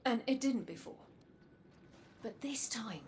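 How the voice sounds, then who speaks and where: A grave guarded android lady from a dark future, video game cutscene, measured n earnest, noisy ambience behind. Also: fairly high pitch, animated. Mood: regretful, sombre. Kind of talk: dramatic scripted game cutscene acting.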